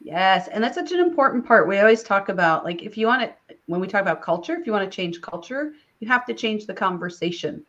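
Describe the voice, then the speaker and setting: A woman's voice: medium pitch, expressive, fast, hardly any pauses, fairly bright tone. A woman hosting a podcast, upbeat as she asks her guest questions. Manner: upbeat, interviewing. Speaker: podcast host. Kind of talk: podcast conversation. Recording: dull, lo-fi sound.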